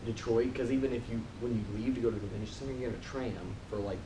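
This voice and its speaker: A man's voice: mid-range voice, fairly even intonation, racing. A man in his twenties chatting informally and jokingly as a convention panelist. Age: 20s. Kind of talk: casual panel chat. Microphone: distant from mouth. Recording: echoey, distant, noisy room.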